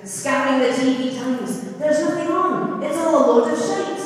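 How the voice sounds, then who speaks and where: A woman's voice: high voice, moderate pace, lightly acted. A Scottish woman voicing a crude young single woman getting ready for a date, reciting bawdy comic verse. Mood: brash. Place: large function hall at a formal dinner. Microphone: phone camera across the room. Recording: echoey hall, phone from far off.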